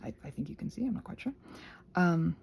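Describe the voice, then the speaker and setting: A woman hosting a casual, rambling craft tutorial in a halting, chatty way with playful, self-deprecating asides. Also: lowish voice, animated, creaky, a little mellow, breathy edge.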